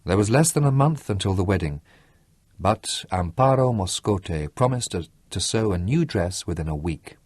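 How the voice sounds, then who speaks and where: Male audiobook narrator: deep register, very varied intonation, scripted literary fiction. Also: expressive, moderate pace, darkish tone, dry recording, clean studio sound.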